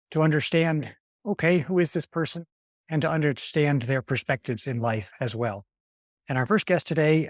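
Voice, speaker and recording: A man in his fifties hosting a podcast, asking questions in a friendly, measured way. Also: medium pitch, no echo, clean studio sound. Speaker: podcast host. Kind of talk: hosted interview.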